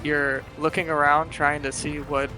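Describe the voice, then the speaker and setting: A man narrating a tabletop game as game master in a halting, explanatory way. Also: fairly high pitch, level tone, rough recording. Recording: music bed behind voice. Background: loud background music.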